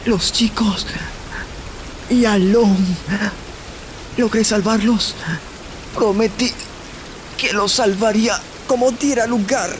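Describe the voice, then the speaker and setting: Man voicing a high-voiced, passionate teenage anime boy. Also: fairly even intonation, slow pace, long pauses, noisy background. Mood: determined, breathy. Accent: Latin American. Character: brave, passionate teenage boy hero, stubborn and loyal. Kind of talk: anime fan dub acting in Spanish.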